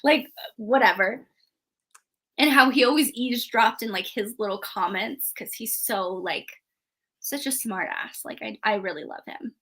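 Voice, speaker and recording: A woman co-hosting a book discussion, chatting casually in an animated, emphatic, informal way. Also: on the high side, moderate pace. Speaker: book review show co-host. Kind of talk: casual chat about books.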